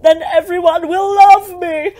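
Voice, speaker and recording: A woman's voice: very high voice, heavily acted. A woman playing a gleeful, bossy, scheming devil in a comic audio drama, theatrical and gloating.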